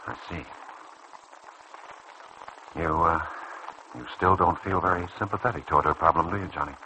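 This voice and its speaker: A man playing a kindly, principled senior doctor in a mid-century radio soap opera, speaking in a measured, earnest way. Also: deep voice, bright, forceful tone. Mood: earnest, concerned. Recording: faintly noisy old radio recording.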